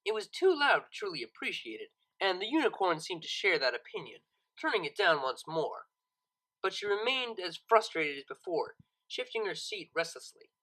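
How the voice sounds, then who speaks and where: An expressive storyteller reads scripted fiction. The voice is bright and sharp, moving through a lively range of pitch. Phrasing is dramatic and deliberate. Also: low-quality recording. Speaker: narrator, audiobook reader.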